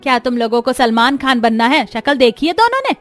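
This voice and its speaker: A woman playing a caring, blunt older mother-in-law in an animated family story. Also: a little high, brisk, soft background music. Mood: teasing, blunt.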